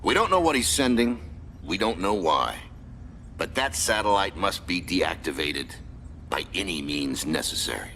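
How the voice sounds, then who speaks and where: An actor playing a stern military commander in a video game, briefing troops in a clipped, formal, authoritative manner. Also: male voice, deep voice, very animated, moderate pace, pushed and bright, slight background noise, full character voice. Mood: grave.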